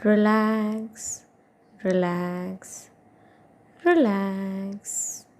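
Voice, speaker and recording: A woman guiding a meditation as an instructor, giving soothing instructions in short phrases with long pauses between them. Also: medium pitch, fairly even intonation, a little mellow. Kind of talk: guided meditation, yoga nidra narration.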